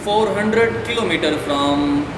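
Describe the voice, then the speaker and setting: A man from Pakistan with a Pakistani accent, whose first language is Urdu, chatting casually and haltingly in broken English and Indonesian with many fillers. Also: sits high, animated, roomy sound, in a large hall, recorded from a distance, noisy background. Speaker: guest. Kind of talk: casual chat.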